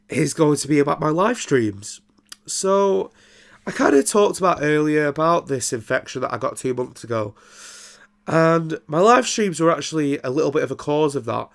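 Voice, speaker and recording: A man's voice: on the high side, gravelly. A young man, congested and nasal from a throat infection, hosting a casual solo podcast and rambling with many ums and restarts. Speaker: podcast host.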